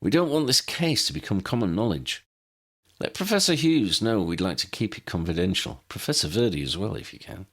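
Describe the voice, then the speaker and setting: A man's voice: fairly low pitch, lively intonation, brisk, fairly bright tone, breathy edge, voice pushed down, heavily acted. A man voicing a serious, discreet young male team leader in an audiobook, direct and polite.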